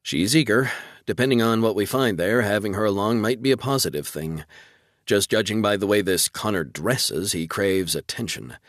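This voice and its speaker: A man's voice: on the low side, normal speed, dry recording, clean studio sound, lightly acted. A man voicing a shrewd, forthright female ship's officer in a sci-fi audiobook. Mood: shrewd, frank. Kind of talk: audiobook character dialogue.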